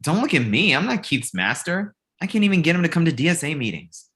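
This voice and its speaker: A man's voice: fairly high pitch, big pitch swings, quick pace, a bit bright. A man playing an exasperated, worried roommate in a comedy script reading, casual and incredulous. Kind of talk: comedic script table read.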